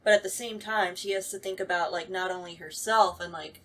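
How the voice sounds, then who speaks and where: A woman's voice: fairly low pitch, steady tone, fairly quick, a bit bright. A woman talking casually and a little hesitantly as a voice actor, circling her way toward each point. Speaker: voice actor on a talkback show. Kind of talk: casual chat. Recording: distant mic with clear background noise.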